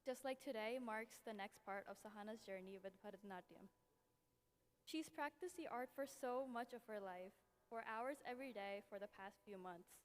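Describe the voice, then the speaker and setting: A woman's voice: mid-range voice, moderate pace. Young woman giving tribute in hall. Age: young adult. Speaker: friend giving a speech. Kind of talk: prepared tribute speech. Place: event hall. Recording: long hall reverb.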